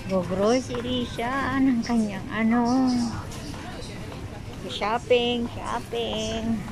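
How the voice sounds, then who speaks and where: A woman's voice: a little high, fairly even intonation, very slow, over music. A woman narrating a casual vlog on her phone while she shops in a supermarket, chatty and laughing often. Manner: chatty, mixes English and Filipino, laughs often. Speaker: vlogger. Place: large indoor supermarket. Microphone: phone camera held while walking.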